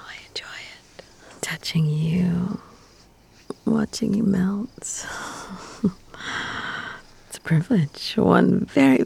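A woman speaking in a soft, breathy whisper with teasing murmurs, performing an intimate audio roleplay. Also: lowish voice.

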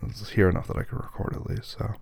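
A hoarse man, losing his voice, answering listener questions in a hesitant, casual way. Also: lowish voice, fairly even intonation, quick pace, darkish tone, no room sound. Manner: hesitant, many ums and restarts.

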